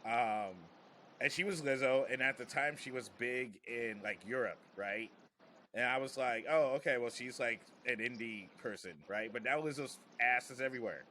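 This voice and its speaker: A man's voice: mid-range voice, normal speed, bright, forceful tone, slight background noise. A man who works as a comedian, hosting a casual podcast chat in a rambling, joking, slangy way.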